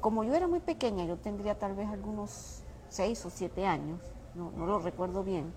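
A woman's voice: medium pitch, expressive, moderate pace, warm tone. A woman from Venezuela, a guest telling personal stories in a casual interview. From Mérida, Venezuela. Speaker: interview guest, small business owner. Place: restaurant. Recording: faint background noise of a restaurant.

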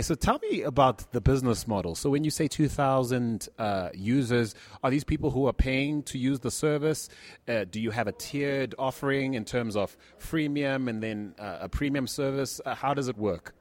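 A man's voice: medium pitch, moderate pace, a little mellow. A man hosting a conversational interview, putting questions to his guest. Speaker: interviewer and show host.

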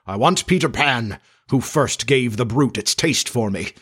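Man playing a menacing, slow-speaking pirate captain. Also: mid-range voice, lively intonation, fairly bright tone, breathy edge. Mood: petulant, haunted. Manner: slow, dramatic, vengeful. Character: menacing, brooding pirate captain with a haunted dread.

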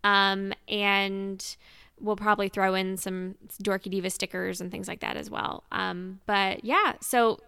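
A woman's voice: mid-range voice, level tone, unhurried, vocal fry, bright, forceful tone, clear, resonant tone, no room sound, studio quality. A woman hosting a casual fan podcast, chatting informally. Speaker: podcast host.

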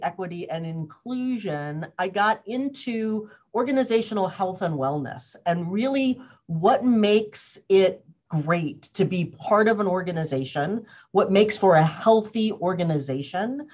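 An American woman in her fifties, a guest answering interview questions in an earnest, reflective way, laughing often and pausing on fillers. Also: medium pitch. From United States. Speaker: guest, organizational wellness consultant. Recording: muffled lo-fi audio.

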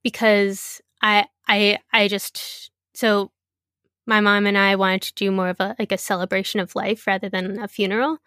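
A young adult woman being interviewed, talking in a halting, casual way with many fillers and restarts. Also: mid-range voice, level tone, fairly bright tone, no echo, studio quality.

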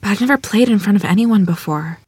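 A woman's voice: medium pitch, quick pace, darkish tone, husky, no room sound, studio quality. A woman playing a modest, polite rural wife and mother in a period table read, speaking with hesitant self-doubt. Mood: shy, doubtful.

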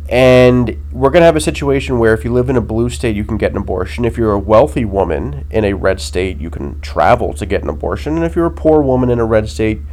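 A man's voice: on the low side, darkish tone. Nasal, opinionated male podcast host. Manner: blunt, opinionated, frequent ums and rhetorical "right?". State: nasal from a cold. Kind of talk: casual political commentary podcast.

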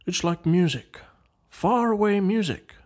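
A man voicing a gentle, weary mole in a storybook, drowsy and wistful. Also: sits high, animated, measured pace, voice pushed up. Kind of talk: storybook character dialogue.

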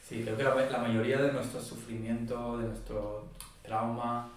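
A calm, measured man speaks as a therapist guest on an interview show, explaining slowly and carefully. His voice sits at a middle pitch. The sound is distant and very echoey, like a mic set far away in a bare room. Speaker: body psychotherapist guest.

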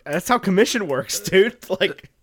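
A man's voice: sits high, animated, measured pace, noisy background. An American man co-hosting a show, chatting casually and telling anecdotes in a colloquial, rambling way. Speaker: show co-host.

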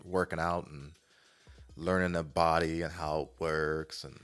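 A man's voice: very deep voice, flat delivery, unhurried, a bit bright, clear, resonant tone, faint music behind. A man co-hosting a casual advice podcast, talking colloquially and repeating himself to press his point. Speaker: podcast co-host giving advice. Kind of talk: casual banter and opinion.

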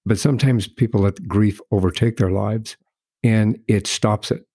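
Low, mellow-voiced male interviewer. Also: steady tone, no echo, studio quality. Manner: halting, restarts, careful phrasing. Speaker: interview host. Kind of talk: conversational interview.

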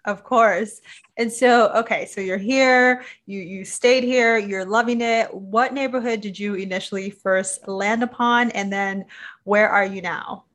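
A woman's voice: medium pitch, steady tone, unhurried, fairly bright tone. A woman hosting a podcast, asking questions in an upbeat, encouraging way with frequent affirmations. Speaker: podcast host. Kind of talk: ad read and interview hosting.

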